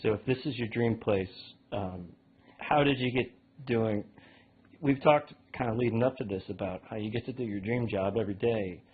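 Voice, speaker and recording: A man's voice: lowish voice, moderate pace, breathy edge. A man hosting a live lecture, introducing the guest and steering with upbeat questions. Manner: upbeat, leads questions with restarts. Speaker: host, moderator. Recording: tinny phone-like line.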